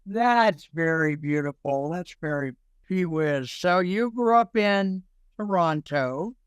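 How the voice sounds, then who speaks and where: A man's voice: fairly high pitch, lively intonation, takes it slowly, smooth, dry recording. A man hosting an informal interview, jokey and rambling, with a habit of repeating himself. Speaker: show host interviewing a guest.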